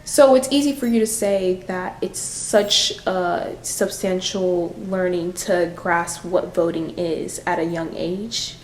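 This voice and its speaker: A woman's voice: fairly low pitch, steady tone, takes it slowly, hardly any pauses, darkish tone. A woman working as an interviewer, putting questions to the person in front of her. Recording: roomy echo, faint background noise.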